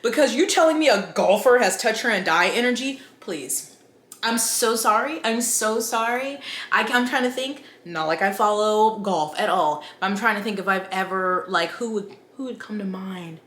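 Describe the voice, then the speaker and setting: A woman's voice: medium pitch, normal speed, a bit bright, reverberant. A woman book vlogger giving casual, chatty opinion commentary with wry, incredulous asides.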